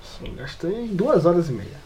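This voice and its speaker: A man's voice: on the high side, wildly swooping pitch, a little slow, crowd noise. A man with a Brazilian accent bantering casually on a podcast, in a slightly noisy recording. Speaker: podcast panelist.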